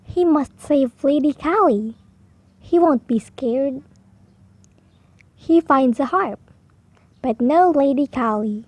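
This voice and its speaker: A woman reading a children's picture book aloud slowly and clearly, with expressive delivery for beginner readers. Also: high voice, no echo, lo-fi sound. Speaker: storybook reader. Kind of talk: slow children's read-aloud.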